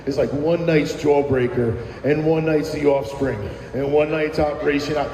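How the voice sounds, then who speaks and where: A man's voice: fairly high pitch, steady tone, moderate pace, mellow. A middle-aged man from New Jersey, a musician casually reminiscing in an interview with lots of fillers and restarts. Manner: rambling, many fillers and restarts. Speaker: musician guest. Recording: echoey room with long reverb.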